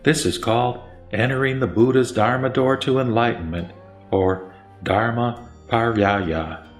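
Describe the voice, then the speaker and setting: A man voicing the Buddha as a serene teacher, preaching in a solemn, measured way in a scripted sutra audio drama. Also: mid-range voice, close to an everyday voice. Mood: solemn teaching. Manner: solemn, measured sermon delivery. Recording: echoey voice over music.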